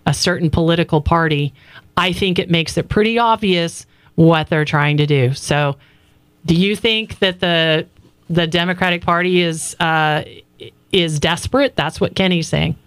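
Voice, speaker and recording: A woman's voice: on the low side, clean tone, no echo. A woman hosting a call-in talk radio show, giving her opinions and taking calls in an opinionated, chatty way. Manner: opinionated, chatty, quick to thank callers. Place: radio studio. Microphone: studio microphone.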